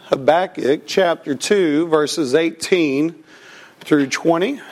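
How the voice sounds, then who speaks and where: Pastor guy preaching slow, kinda froggy voice from a cold, a bit high pitched. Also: darkish tone. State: recovering from a cold, slightly froggy. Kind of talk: sermon with prayer and personal story.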